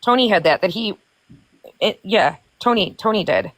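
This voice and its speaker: A woman's voice: mid-range voice, animated, moderate pace, dry recording, low-quality recording. A woman asking questions in a casual live-stream chat.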